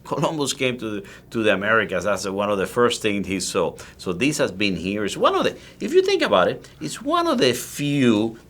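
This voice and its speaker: Low-voiced Cuban-accented man. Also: fairly quick, few pauses. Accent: Cuban Spanish. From Cuba. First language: Spanish. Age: 40s. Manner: animated storyteller with frequent restarts. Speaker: guest, cigar maker. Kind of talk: casual interview.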